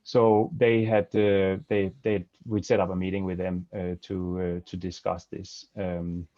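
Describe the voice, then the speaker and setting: Middle-aged man, Danish accent, very deep voice, dry muffled headset audio. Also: little pitch movement, unhurried, warm tone, no room sound. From Denmark. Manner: hesitant, many fillers and restarts. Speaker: webinar guest speaker. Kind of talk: webinar presentation. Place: home office, indoors. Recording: dry, dull video-call audio.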